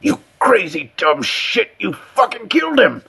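A man voicing a frightened old homeless man with a hoarse voice and rough street speech in a story. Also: medium pitch, lively intonation, unhurried, sharp tone, voice pushed up, full character voice. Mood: horrified.

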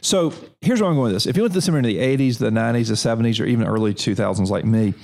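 A man's voice: medium pitch, very fast, creaky, a little mellow. A man of forty, a seminary leader, answering interview questions in an earnest, repetitive, preacherly way. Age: 40s. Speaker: seminary president.

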